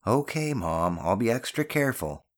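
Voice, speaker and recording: A man's voice: medium pitch, very animated, normal speed, voice pushed up, natural voice. A man voicing an awkward, skeptical schoolboy son in an audiobook, casual and mildly exasperated. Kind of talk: audiobook character dialogue.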